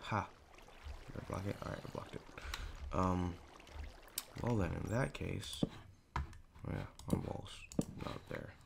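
A teenage boy with a raspy, hoarse voice, rambling casually over a Minecraft game with lots of fillers. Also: low-pitched, wildly swooping pitch, measured pace, pauses often, noisy background. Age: teens. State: hoarse, clearing throat. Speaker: gaming YouTuber. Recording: home recording with clear keyboard clicks.

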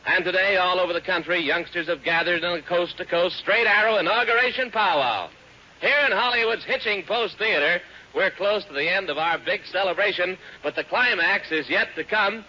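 A man's voice: high-pitched, moderate pace, pushed and bright. A man with an American accent hosting a 1940s children's radio show as a hearty, folksy showman. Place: theater stage. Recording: dull, noisy old radio broadcast recording.

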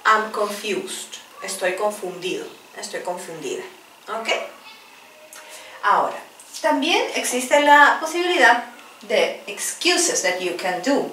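A woman in her forties with a Spanish accent, teaching English phrases at a whiteboard and repeating each one slowly and clearly. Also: mid-range voice, lively intonation, sharp tone, slight background noise. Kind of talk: bilingual English lesson, drilling phrases. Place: small classroom in front of a whiteboard. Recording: roomy, distant camera audio.

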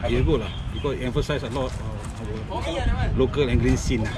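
Emphatic Singaporean brand rep, average pitch, busy crowd noise. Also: male voice, fairly even intonation, fast. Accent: Singaporean English. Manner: enthusiastic, emphatic. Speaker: gear brand representative. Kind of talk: casual interview answers.

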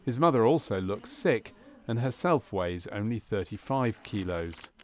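Low-voiced man narrating a training film. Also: lively intonation, unhurried. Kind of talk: scripted documentary narration.

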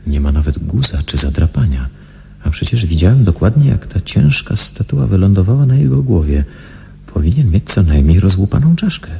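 A man's voice: deep voice, sing-song, normal speed, gravelly, mellow, full character voice. An actor in a radio drama playing an observant, puzzled male intelligence agent, musing and questioning as he speaks. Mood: tense, musing.

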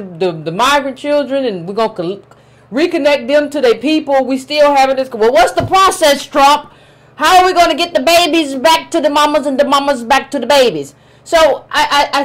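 Woman, thirties, a bit hoarse, high voice, blunt livestream commentary, small room. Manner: direct, opinionated, talks to her chat. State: a little hoarse. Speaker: political commentary host. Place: small room with a chalkboard backdrop.

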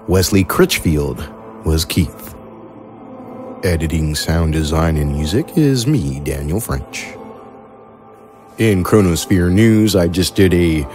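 Male podcast host, low voice, unhurried, announcements, faint music behind. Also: darkish tone. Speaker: host and producer.

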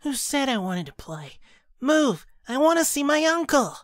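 Headstrong little boy, voiced by a woman, very lively. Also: sits high, normal speed, no room sound, clean studio sound. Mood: demanding, insistent. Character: headstrong young boy, orphaned heir. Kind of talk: amateur audio drama acting.

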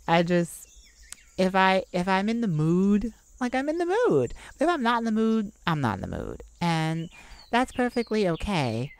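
A woman's voice: mid-range voice, very animated, a little slow. A woman hosting an improvised ASMR-style self-care podcast, talking in an informal, reassuring way. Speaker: podcast host.

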